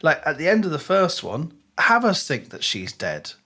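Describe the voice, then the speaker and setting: A man's voice: on the high side, huge pitch swings, normal speed, sharp tone. A man co-hosting a book podcast in casual discussion, often voicing imagined reactions as he talks.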